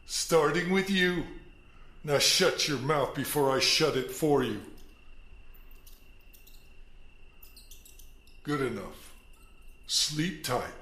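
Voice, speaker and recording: An actor playing a stern, menacing man of authority in a prison drama, with a forceful, condemning delivery. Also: fairly high pitch, slight background noise. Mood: bullying menace. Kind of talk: dramatic scripted film acting.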